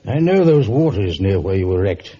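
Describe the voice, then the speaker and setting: A very mellow, measured judge. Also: male voice, low-pitched, sing-song, slightly rough, heavily acted. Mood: genial. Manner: measured, reflective, gentlemanly. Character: genial, measured male judge with somber reticence. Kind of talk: vintage radio drama acting. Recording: muffled, hissy old radio recording.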